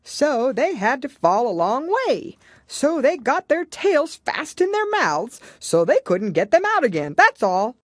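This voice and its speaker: A brusque, self-important mythical beast from a children's storybook, played by a woman. The delivery is emphatic and impatient, pitched up and swinging boldly, as if every answer is obvious. The sound is close and dry. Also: big pitch swings, measured pace, few pauses, no echo, exaggerated voice. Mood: solemn, emphatic. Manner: brusque, emphatic, know-it-all. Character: solemn, impatient, bossy male Gryphon, a mythical beast. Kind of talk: children's storybook character reading.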